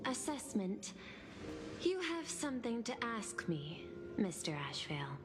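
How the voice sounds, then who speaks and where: Slow-spoken, composed formal woman. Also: on the high side, sing-song. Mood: cool, formal. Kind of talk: video game voice acting. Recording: loud game music behind the voice.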